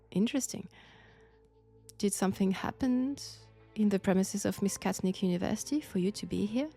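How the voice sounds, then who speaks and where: A woman with a French accent playing an enigmatic, ominous occult professor in an audio roleplay, speaking deliberately and in a hushed way. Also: mid-range voice, gravelly. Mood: curious, guarded. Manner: slow, deliberate, hushed, ominous, with whispered phrases. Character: enigmatic female occult professor, possessed vessel of an ancient entity. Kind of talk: audio roleplay acting addressed to the listener. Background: faint music.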